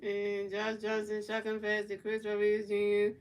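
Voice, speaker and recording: A woman's voice: mid-range voice, recorded from a distance, slight background noise. A woman streamer doing play-by-play commentary of a football video game and answering her chat in casual slang. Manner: announces plays in a measured, even delivery.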